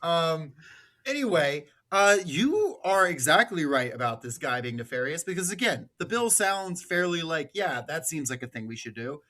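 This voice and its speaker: A man's voice: on the high side, sing-song, moderate pace, bright, forceful tone. A man with an American accent giving political commentary as a podcast host, partly reading from notes.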